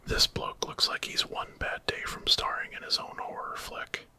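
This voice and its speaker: A man's voice: moderate pace, close to an everyday voice. A man voicing a wary, sardonic young woman companion in a nervous, dry whisper for a horror story. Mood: wary, sarcastic.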